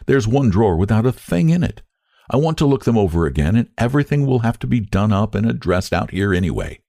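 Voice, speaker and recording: A man voicing a proud, grieving older woman who speaks in a cool, prim, measured way in a storybook reading. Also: lowish voice, sing-song, dark tone, no room sound, studio quality, voice pushed up. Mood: cool defiant.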